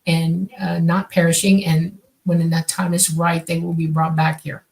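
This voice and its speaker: A woman's voice: lowish voice, monotone, a little slow, creaky, darkish tone. A woman in her sixties whose first language is Swedish, co-hosting a casual podcast and rambling with lots of fillers. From born on a military base, raised all over. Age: 60s. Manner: rambling, many fillers and restarts. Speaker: co-host, contactee.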